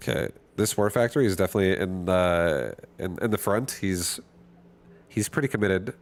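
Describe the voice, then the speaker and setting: A man's voice: low-pitched, animated, normal speed. A man casually thinking aloud and commenting on his own strategy game as he streams it. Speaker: gaming streamer.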